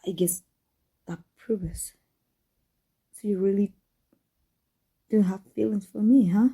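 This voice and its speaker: A woman acts out a guarded villainess in love with her rival, in an intimate ASMR audio drama. Her voice is very dark and soft, with a breathy husk and some creak. She speaks haltingly, with tender emotion. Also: medium pitch, fairly even intonation, rough recording. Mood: soft, affectionate. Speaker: voice actor. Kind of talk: intimate ASMR roleplay acting.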